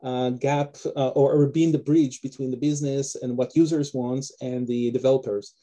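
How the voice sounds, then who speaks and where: A man from Israel with an Israeli accent, a guest author talking casually on a video call from his home office, with many pauses and fillers. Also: mid-range voice, fairly even intonation, dark tone. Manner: hesitant, many fillers and restarts. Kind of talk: casual interview talk.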